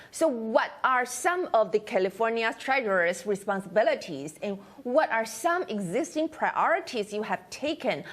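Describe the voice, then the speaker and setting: A woman hosting an interview, asking questions politely and in halting phrases. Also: fairly high pitch. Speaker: interviewer, host. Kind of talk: video interview for an overseas audience.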